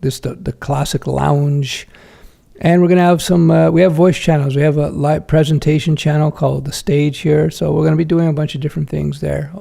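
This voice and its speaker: A man's voice: a little high, little pitch movement, fairly quick, vocal fry, mellow. A middle-aged man hosting a casual livestream Q&A, reading viewer questions aloud and answering frankly with lots of fillers and restarts. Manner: frank, chatty, many fillers and self-corrections.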